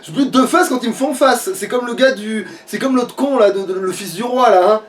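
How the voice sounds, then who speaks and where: Male gamer, notably high-pitched, slangy and sweary, casual chat, picked up from some distance. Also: fairly quick. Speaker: video game player. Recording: distant from the mic.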